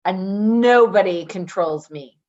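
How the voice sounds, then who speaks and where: A woman's voice: medium pitch, slow, a little mellow, lo-fi sound, slight background noise, close to an everyday voice. A woman acting a tough, defensive ex-convict in a play reading, blunt and combative in manner. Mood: guarded. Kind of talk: dramatic play reading, naturalistic dialogue.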